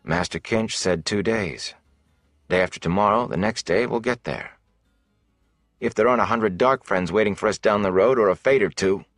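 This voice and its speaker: A man voicing a tired, steady young farm lad in a fantasy audiobook, speaking plainly. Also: fairly low pitch, normal speed, no echo, clean studio sound, soft background music. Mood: tired, resolute.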